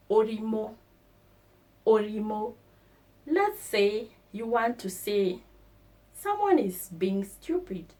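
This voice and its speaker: Slow, deliberate woman teaching vocabulary. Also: mid-range voice. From Kenya. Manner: slow and deliberate, repeating each word. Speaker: language teacher.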